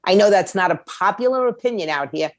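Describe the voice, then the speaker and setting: Woman, interview guest, blunt, emphatic, slangy, opinionated, medium pitch, dry close sound. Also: animated, fast, dry recording. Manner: blunt, emphatic, uses slang and rhetorical questions. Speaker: music industry attorney and indie label owner, interview guest.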